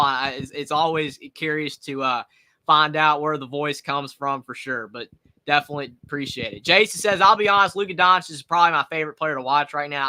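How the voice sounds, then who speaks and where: Young Southern man, 20, bright high-ish voice, excited live-stream play-by-play host. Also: poor audio. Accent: Southern US, North Carolina. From North Carolina, USA. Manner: excited, rising pitch on big plays. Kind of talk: live play-by-play reaction with viewer chat.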